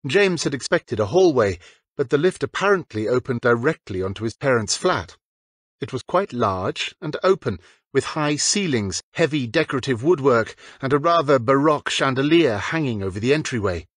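Husky male audiobook narrator, dry sound. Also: medium pitch, lively intonation, moderate pace, no room sound. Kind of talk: scripted fiction narration.

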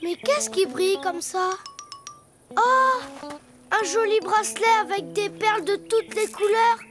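A cheeky, boastful little cartoon donkey, with a very high, bright child's voice. He speaks slowly and clearly, in a sing-song way. Cartoon music plays behind him. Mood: delighted.

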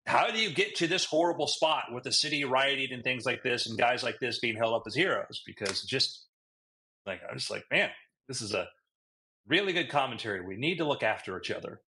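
A man's voice: medium pitch, expressive, fairly quick, sharp tone, low-quality recording. A man co-hosting a podcast, telling a rambling story in casual chat, recorded on a close webcam in a room at home. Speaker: podcast co-host.

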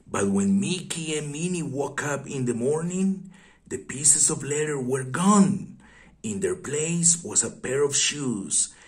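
A man reading a fairy tale aloud for young children in a deliberate, expressive way, with pauses. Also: a little high.

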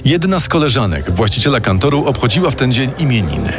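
A man's voice: medium pitch, sing-song, fast, a crowd in the background. Man narrating true crime over music. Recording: music playing underneath.

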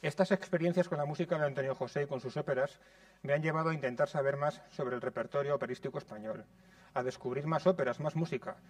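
A man in his thirties from Spain, reading a prepared lecture in a measured way in a conservatory lecture room. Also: on the high side, dry recording, distant mic. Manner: measured, reads from notes.

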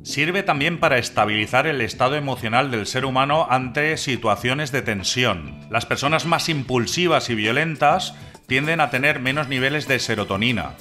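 A man's voice: medium pitch, pushed and bright. A man hosting a channel, reading a scripted explainer voice-over that poses rhetorical questions to the viewer. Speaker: channel host. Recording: clear music bed behind the voice.

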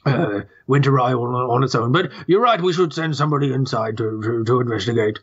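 A man's voice: mid-range voice, fairly quick, a bit bright, slightly rough, highs cut off. A man voicing a grumpy, flustered old toad who leads a small town, hesitant and stammering, with a strained throaty voice.

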